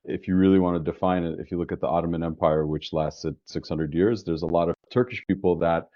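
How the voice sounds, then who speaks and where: Deep-voiced man. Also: fast, no room sound, highs cut off. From New York metropolitan area, US. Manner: relaxed and talkative, frequent restarts. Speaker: guest, insurance business founder. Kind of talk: casual interview conversation.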